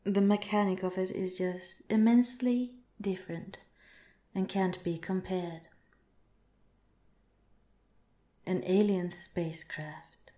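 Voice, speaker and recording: A woman voicing a calm, curious alien researcher in a softly spoken, part-whispered ASMR roleplay, with formal, measured, clinical phrasing, in an echoing recording. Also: medium pitch, close to an everyday voice. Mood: calm, reassuring. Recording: roomy, echoing voice.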